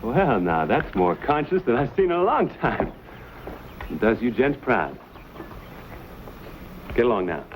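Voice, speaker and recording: Easygoing frontier adventurer, lively pitch. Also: male voice, measured pace, pauses often. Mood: calm, wry authority. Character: easygoing frontier adventurer, dryly wry, respectful to his mother. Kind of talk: mid-century Western drama acting. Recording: dull, lightly noisy old broadcast recording.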